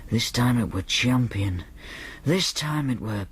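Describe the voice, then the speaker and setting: A man's voice: medium pitch, animated, a little slow, a little hiss or hum, natural voice. An actor narrating in first person as a young man with a Northern English accent, telling the story in a dry, matter-of-fact way. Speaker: narrator and main character.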